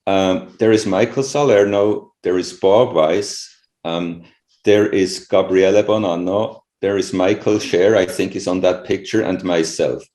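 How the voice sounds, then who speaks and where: Deep-voiced Swiss man in an echoey room. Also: fairly even intonation, unhurried, darkish tone. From Switzerland. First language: not English. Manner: thoughtful, frequent "you know" and "right?" asides. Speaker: interviewed scientist. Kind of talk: conference interview, conversational.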